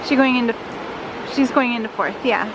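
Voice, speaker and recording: A woman's voice: on the high side, normal speed, muffled recording, heavy background noise. A middle-aged woman chatting casually and giggling as she films a family vlog outdoors on a handheld camera. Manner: giggly, chatty, laughs often. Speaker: vlogger filming her family. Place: outdoors at a beach resort.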